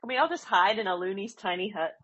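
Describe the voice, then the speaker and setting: A woman's voice: medium pitch, animated, fast talker, fairly bright tone, slightly rough, no room sound. A woman playing in a tabletop game, playful and giggly in casual chat. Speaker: player. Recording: dry, dull lo-fi sound.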